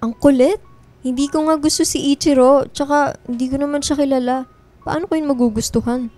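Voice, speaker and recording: A woman's voice: high-pitched, fairly even intonation, a little fast, warm tone, soft background music, lightly acted. A woman playing a caring, easily flustered young friend in a Filipino radio drama. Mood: flustered, annoyed.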